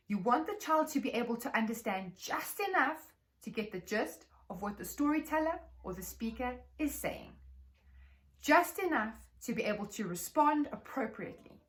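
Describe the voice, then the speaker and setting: Female teacher trainer, South African accent, slightly high register, articulate, measured presentation for educators. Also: a bit bright.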